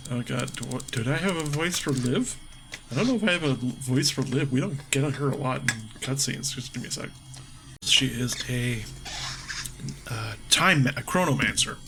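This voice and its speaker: A man's voice: mid-range voice, vocal fry, a bit bright, slight background noise. A man giving casual, jokey let's play commentary, thinking aloud as he reads game dialogue. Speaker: gaming video host.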